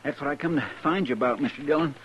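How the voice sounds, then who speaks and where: An actor playing a folksy, earnest, loyal frontier sidekick with rustic speech in a 1950s radio western. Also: male voice, a little high, racing, fairly bright tone, close to an everyday voice. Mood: urgent. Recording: dull, noisy vintage radio recording.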